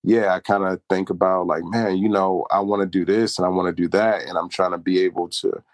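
A man's voice: low-pitched, little pitch movement, fairly quick, no room sound, studio quality. A young man talking earnestly and reflectively about pride and humility in a casual podcast chat. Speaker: podcast co-host.

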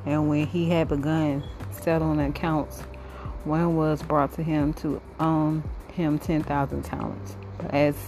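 A low female voice reads scripture aloud for a devotional podcast, with little rise and fall in pitch. She goes phrase by phrase in a halting way, and music plays clearly behind her. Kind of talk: devotional Bible reading. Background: music under the voice.

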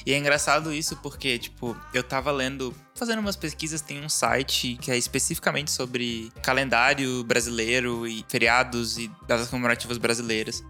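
A young man from Brasília hosting a casual podcast chat over a video call, chatty and animated with easy laughs. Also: mid-range voice. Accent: Brasília Portuguese. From Brasília, Brazil. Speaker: podcast host.

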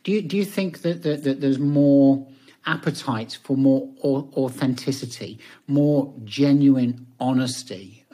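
A man hosting a podcast interview, talking in a halting way with many restarts. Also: mid-range voice, warm tone, breathy edge. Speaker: podcast host. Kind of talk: casual interview chat.

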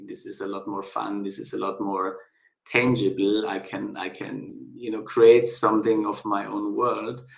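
Gravelly low-voiced man, echoey room. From South Tyrol, northern Italy. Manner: thoughtful, hesitant, many fillers and restarts. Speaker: guest architect. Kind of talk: casual interview conversation.

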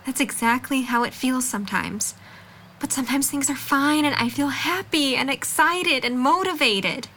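A woman acting a frank young college student in an audio drama. Her voice is high and bright, and she comes across as earnest and firm, a supportive friend with limited patience. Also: moderate pace, slight background noise. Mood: earnest, reflective. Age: young adult.

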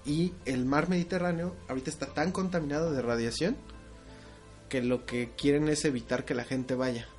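A man's voice: on the high side, steady tone, normal speed, darkish tone, soft background music. A young man with a Mexican accent, co-hosting a podcast and telling travel stories in a crude, joking way. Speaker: podcast co-host. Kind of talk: casual chat.

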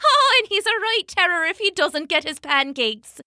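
A woman's voice: very high-pitched, big pitch swings, moderate pace, sharp tone, dry recording, studio quality, voice pushed up, heavily acted. A woman voicing a clever, scheming giant's wife in a storybook tale, with a sly, coaxing sweetness.

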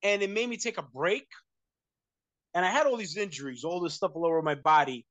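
A man's voice: high-pitched, a little fast, a bit bright, no echo. A man from the United States, talking casually as an interview guest, rambling with many fillers and restarts. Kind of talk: casual conversation.

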